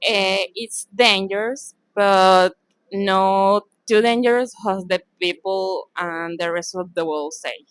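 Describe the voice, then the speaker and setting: Mid-pitched Venezuelan woman, short answers. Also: slow pace, pauses often, no echo, poor audio. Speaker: interviewee.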